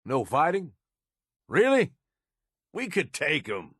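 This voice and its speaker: Gruff, husky raider henchman. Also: fairly high pitch, wildly swooping pitch, slow, fairly bright tone, no room sound, studio quality, full character voice. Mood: scolding, sarcastic. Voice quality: husky, rough. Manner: folksy slangy drawl, sardonic, swearing. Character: gruff cynical ruthless male raider henchman in a post-apocalyptic wasteland. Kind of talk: video game voice acting.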